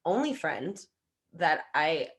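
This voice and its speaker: A woman's voice: deep voice, big pitch swings, slow. A woman with a big voice chatting casually and frankly as a podcast guest, with a teasing streak. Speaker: podcast guest, friend of the host. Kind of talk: casual chat.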